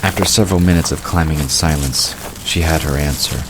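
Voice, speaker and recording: A man's voice: very deep voice, fairly quick. A man reading scripted third-person narration for a fantasy audio drama. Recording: clear background noise of night crickets.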